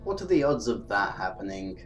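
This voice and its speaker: A man's voice: on the low side, big pitch swings, moderate pace, music in the background. A man playing a flippant, oblivious young gamer with a breezy, dismissive manner in an anime parody dub.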